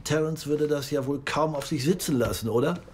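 A man's voice: fairly high pitch, fairly quick, a little hiss or hum, close to an everyday voice. An actor playing an enthusiastic, businesslike male book editor in an audio drama, speaking in a casual, musing way. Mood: interested, pondering. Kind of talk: naturalistic audio drama acting.

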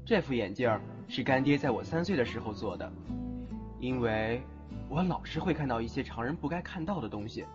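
A man's voice: medium pitch, lively intonation, fairly quick, natural voice. A man playing a curt, cool young male student who sees ghosts, in an audio drama. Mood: calm narration. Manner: curt, cool, threatening when annoyed. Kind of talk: Chinese audio drama acting. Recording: dull lo-fi audio, background music.

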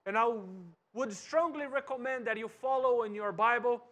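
A Brazilian pastor in his thirties preaches in English with a strong Brazilian Portuguese accent. His voice sits very high for a man. He moves about a church stage, sometimes quiet and sometimes loud. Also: normal speed. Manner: animated, wide gestures, swings from soft to loud. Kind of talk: sermon. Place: church stage with cross backdrop and bookshelves.

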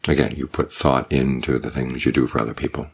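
An older man, a Buddhist monk, giving a calm, measured evening Dhamma talk with unhurried pauses. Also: a bass voice, gravelly, husky. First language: English.

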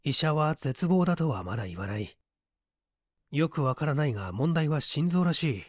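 Detective's colleague voiced by a man: grave, matter-of-fact, varied pitch, for an audiobook mystery. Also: big pitch swings, moderate pace, no room sound, clean studio sound. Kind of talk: Japanese audiobook character acting.

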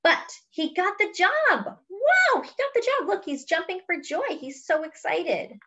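A woman reading a children's picture book aloud expressively, pausing to explain words and pictures as if to young children. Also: very high-pitched, big pitch swings, sharp tone. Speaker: host of a children's read-aloud.

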